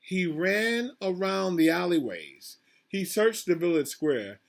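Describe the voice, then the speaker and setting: A man's voice: sits high, sing-song, slow, vocal fry. A man, a school principal, reading a picture book aloud to children, with asides and questions put straight to them. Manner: addresses children directly, asks questions, repeats himself.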